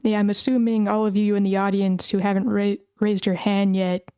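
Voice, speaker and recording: Female host, mellow medium-pitched voice, informal and chatty, dry recording. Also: little pitch movement, brisk, clear, resonant tone, no echo. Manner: informal, chatty, thinking aloud. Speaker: host of an online script table read. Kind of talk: casual event hosting.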